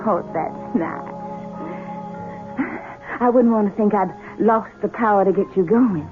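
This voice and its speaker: A woman's voice: medium pitch, unhurried, close to an everyday voice. Coy Southern belle acted by a woman on muffled radio. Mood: coy, coaxing. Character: flirtatious Southern belle, coy and possessive sweetheart. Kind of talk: radio drama acting. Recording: muffled old radio, music behind.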